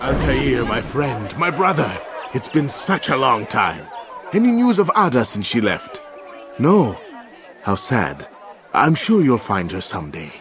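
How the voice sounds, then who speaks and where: An actor playing a warm, friendly assassin who greets an old comrade in a video game. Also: male voice, medium pitch, very animated, measured pace, over music, a crowd in the background. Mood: warm, wistful.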